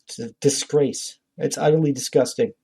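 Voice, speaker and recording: Mellow-toned man, blunt political podcast rant. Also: medium pitch, fairly even intonation, normal speed, vocal fry, husky. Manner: profane, blunt; indignant, exasperated. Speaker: podcast host. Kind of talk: informal political commentary rant.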